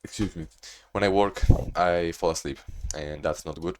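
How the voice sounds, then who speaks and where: A young man with a deep, low voice talks through his day in a casual video diary. His delivery is monotone and meandering, full of restarts and loose asides. He sounds like he's recording at home. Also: moderate pace, slight background noise. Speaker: vlogger.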